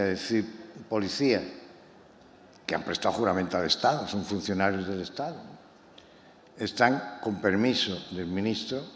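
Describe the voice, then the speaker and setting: A novelist from Málaga, presenting his book to an audience in a talk that is impassioned and halting, with many repeated words. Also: fairly low pitch. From Málaga, Spain. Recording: echoey hall, faint room noise.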